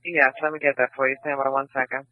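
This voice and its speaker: A man's voice: medium pitch, little pitch movement, fast, dry recording. A man working as a police sergeant, giving instructions in radio traffic over a tinny police scanner feed. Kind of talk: police radio dispatch talk.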